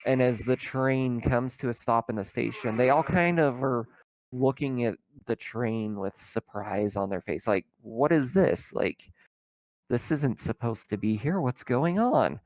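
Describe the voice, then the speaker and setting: A man's voice: fairly low pitch, a little mellow, no echo, poor audio. The man behind the screen at a game night, unhurriedly feeling out each scene as he talks, close and dry. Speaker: game master. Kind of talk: improvised narration of a tabletop roleplaying game.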